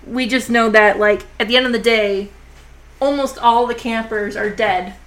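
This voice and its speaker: A woman with a somewhat high voice hosts a casual horror movie review podcast. She talks in a chatty, rambling way with plenty of fillers, a little slower than usual because of a sore jaw. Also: fairly even intonation, fairly bright tone, poor audio. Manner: chatty, many "like" and "you know" fillers. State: sore jaw, talking a bit slowly. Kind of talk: casual conversational film review.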